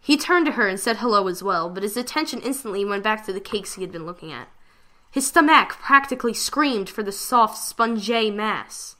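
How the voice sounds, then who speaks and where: A woman giving a dramatic reading of a fan fiction story, with expressive delivery. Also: medium pitch, moderate pace.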